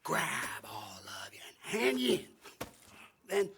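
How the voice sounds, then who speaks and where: Menacing gang boss, drawling, gloating, animated intonation, Western game, clearly noisy recording. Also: male voice, sits high, takes it slowly, full character voice. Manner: drawling, taunting, drops into breathy menace. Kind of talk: cinematic Western game acting.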